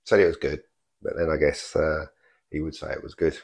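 An older man talking casually through a hobby vlog, explaining things in understated, hesitant, piecemeal phrases with a gravelly voice. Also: rumbling low, level tone, brisk. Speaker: amateur radio hobbyist vlogger.

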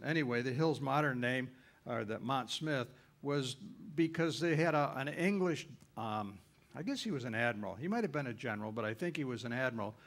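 A man giving an informal travel lecture on a cruise ship, folksy and rambling, with frequent uhs and self-corrections. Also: mid-range voice.

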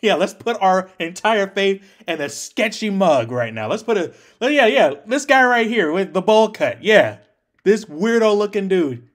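A young man reacts casually in a high, very lively voice. He takes his time, talking in slangy, amused asides in a small room at home. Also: slow pace. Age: young adult. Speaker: anime reaction host.

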